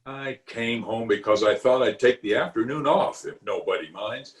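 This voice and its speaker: A man's voice: mid-range voice, natural voice. A man playing a pompous, blustering middle-aged uncle in an old-time radio comedy, drawing his words out indignantly. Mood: grumbling. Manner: pompous, indignant, drawn-out delivery. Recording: remote home recording over video call.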